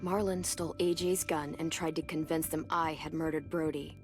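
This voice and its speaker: A woman playing a tough, protective teenage girl survivor in a dramatic video game, speaking in a sober, measured way. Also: low voice, steady tone, natural voice. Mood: grim recounting. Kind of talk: naturalistic dramatic game acting. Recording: music under the voice.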